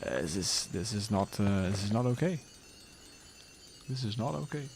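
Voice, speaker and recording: Low-voiced man chatting with viewers. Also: normal speed, slight background noise. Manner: relaxed, rambling, with restarts. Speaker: streamer. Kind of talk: casual chat with viewers.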